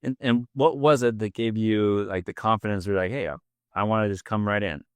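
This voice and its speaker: Guy interviewing, low smooth voice, hyped and encouraging. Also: brisk, dry recording. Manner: upbeat, encouraging, enthusiastic. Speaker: interviewer, host.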